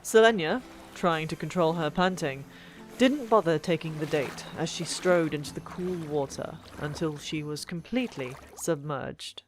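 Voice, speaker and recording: A woman narrating a fantasy audiobook in an expressive, deliberate storytelling manner. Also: low voice. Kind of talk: dramatic scripted fiction narration. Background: background music.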